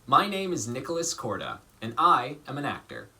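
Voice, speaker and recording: A man reading a children's story aloud as an actor, with deliberate, theatrical phrasing and dramatic emphasis. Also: medium pitch, wildly swooping pitch, gravelly, pushed and bright. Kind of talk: expressive storybook narration.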